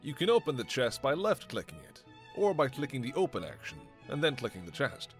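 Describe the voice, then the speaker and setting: A man's voice: medium pitch, sing-song, brisk, faint music behind, lightly acted. A man with a British accent voicing a game narrator who gives instructions, in a scripted audition. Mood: instructive.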